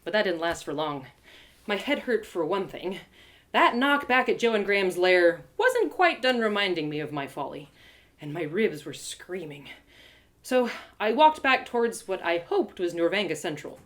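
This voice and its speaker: Lively, expressive woman storyteller. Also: medium pitch, moderate pace. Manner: dramatic, expressive. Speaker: author and storyteller. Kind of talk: expressive first-person story narration, live reading.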